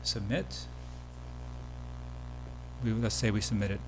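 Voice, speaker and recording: A man giving a casual, step-by-step software tutorial as the presenter of a screen demo. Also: lowish voice, takes it slowly, poor audio. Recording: background hum and noise.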